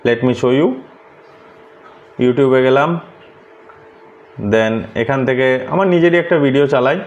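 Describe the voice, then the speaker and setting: A man's voice: medium pitch, slow pace, dull audio. A man gives a casual hands-on tutorial as a vlogger, explaining things and mixing English words into his sentences. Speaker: vlogger presenting a product unboxing.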